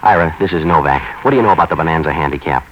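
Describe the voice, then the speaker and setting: Very deep-voiced, hard-boiled radio detective. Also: male voice, racing. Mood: terse, probing. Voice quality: low-pitched voice. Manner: deadpan, wisecracking, terse. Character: cynical hard-boiled male private investigator for hire. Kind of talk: 1940s radio noir acting with narration. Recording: dull, noisy 1940s radio recording.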